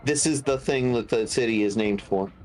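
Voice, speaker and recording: A man in his forties chatting casually and telling anecdotes with animated quoted voices. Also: medium pitch, moderate pace, faint music behind. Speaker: podcast guest. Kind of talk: casual chat.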